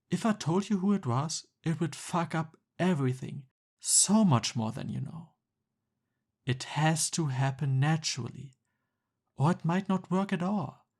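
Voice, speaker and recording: A man's voice: on the high side, gravelly, a little mellow, full character voice. A man playing a jealous, exasperated young Cupid best friend in a conversational boyfriend roleplay ASMR. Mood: annoyed, agitated.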